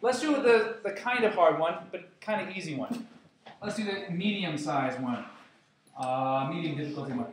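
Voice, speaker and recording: A man's voice: fairly high pitch, recorded from a distance. A man teaching a chemistry review lesson to his class, playful and joking with students. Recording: echoey classroom with noise.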